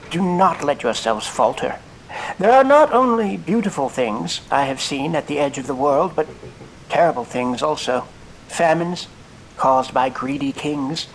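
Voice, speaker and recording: An actor playing a celebrated explorer in a fantasy audio drama, giving grandiose, halting, theatrical speeches to an adoring crowd. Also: male voice, on the high side, slight background noise, low-quality recording.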